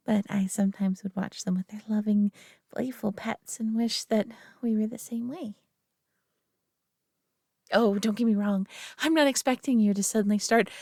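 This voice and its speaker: A woman's voice: a little high, dry recording, clean studio sound. A woman voicing a prideful, doting alien who owns a human pet, teasing and condescending yet affectionate in an intimate audio roleplay. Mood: tender, hopeful. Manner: teasing, condescending, affectionate, often hushed and breathy.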